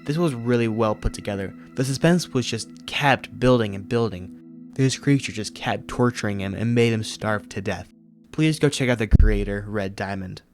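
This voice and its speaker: A man's voice: on the low side, moderate pace, faint music behind. A man hosting a video review, narrating and commenting from a script. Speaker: YouTube host reviewing horror videos.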